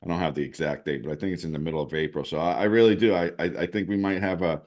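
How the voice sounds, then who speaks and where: A man's voice: low-pitched, steady tone, racing, a little mellow. A man co-hosting a casual show, talking through charts and thinking aloud with many restarts. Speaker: co-host, chart analyst. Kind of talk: casual crypto chat over screen-shared charts.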